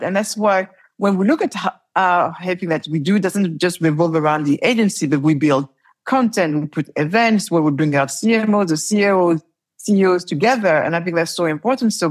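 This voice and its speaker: Husky-voiced woman telling lively stories. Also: on the low side. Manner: animated storytelling, laughs often, repeats words. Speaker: entrepreneur guest. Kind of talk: conversational interview.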